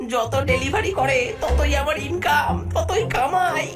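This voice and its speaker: A woman's voice: high-pitched, lively intonation, slow pace, over music, full character voice. A woman voicing a greedy, scheming male ghost boss in a cartoon, with a domineering, scolding and gloating manner. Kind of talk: cartoon voice acting.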